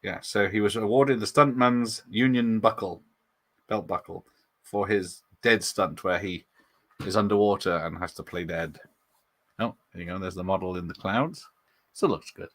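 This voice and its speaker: Casual watch-party host: a man with a slightly low voice who rambles through film trivia and talks back to his chat. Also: normal speed, a bit bright. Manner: rambling, chatty, laughs often, reads out chat comments. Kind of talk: casual live movie commentary.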